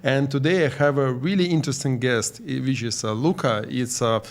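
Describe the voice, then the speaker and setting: A man hosting a podcast interview in a studio, speaking in a halting way with many fillers and tag questions. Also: mid-range voice. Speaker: podcast host. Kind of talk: casual interview.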